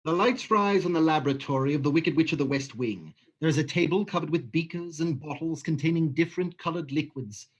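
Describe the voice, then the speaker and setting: Man, stage-direction narrator, slightly high voice, steady, matter-of-fact. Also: fairly quick, few pauses, slightly rough, dull audio. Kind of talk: livestream script reading.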